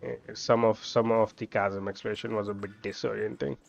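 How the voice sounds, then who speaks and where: Male streamer, gravelly and musing, casual play-by-play, slightly muffled recording. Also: lowish voice, steady tone, fairly quick, a little hiss or hum. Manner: musing, thinking aloud while playing. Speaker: game streamer. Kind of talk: casual gameplay commentary. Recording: dull lo-fi microphone sound. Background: game music.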